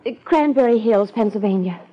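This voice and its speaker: A woman's voice: a little high, animated, a little fast. A woman acting as an evasive young heiress in hiding in a 1950s radio drama, hesitant and pleading. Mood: sheepish. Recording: muffled old radio drama.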